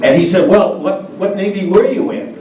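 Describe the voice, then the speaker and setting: A man's voice: high-pitched, normal speed, mellow. Older american guy, ex diplomat, rambly stories w lots of uhs, far off mic in an echoey cafe. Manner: anecdotal, hesitant with many fillers. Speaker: former American diplomat guest. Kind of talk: storytelling interview answers. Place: café event room. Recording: echoey room, distant mic, background noise.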